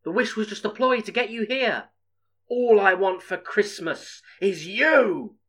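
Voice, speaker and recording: A man's voice: sits high, lively intonation, unhurried, a bit bright, pitched above the performer's own voice, heavily acted. Man putting on a menacing, scheming woman. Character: menacing scheming woman who has trapped Santa.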